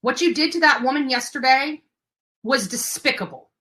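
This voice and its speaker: A woman hosting a livestream in a bright, sharp voice pitched on the high side. She rants with indignation, leaning hard on her words. Her delivery is confrontational and emphatic, and she is willing to swear. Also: animated, moderate pace. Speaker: livestream host. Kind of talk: impassioned livestream rant.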